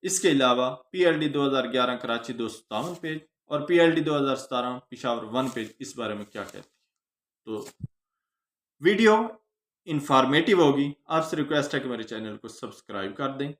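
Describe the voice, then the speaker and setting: A man's voice: mid-range voice, expressive, moderate pace, fairly bright tone. A man with a Pakistani accent giving an instructional law lecture, didactic and emphatic, posing questions and answering them with English terms mixed in. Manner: didactic, emphatic stress on phrases, mixes in English legal terms. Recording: roomy, echoing voice recording.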